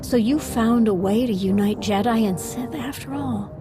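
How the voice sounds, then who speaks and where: A woman's voice: a little high, music in the background, lightly acted. Actress as earnest, reflective female Sith exile. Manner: measured, formal, sincere. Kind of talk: video game cutscene acting.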